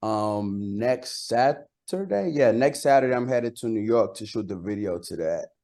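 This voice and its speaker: A man's voice: fairly low pitch, lively intonation, unhurried, darkish tone. A man from Costa Rica, a native Spanish speaker, chatting casually as an interviewed rapper, slangy and repeating words for emphasis.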